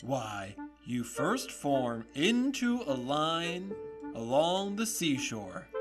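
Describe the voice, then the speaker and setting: Actor doing a bossy mythical beast for audio drama, big pitch swings, emphatic n slow. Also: sing-song, over music. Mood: explaining. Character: brisk, bossy Gryphon, excitable mythical beast.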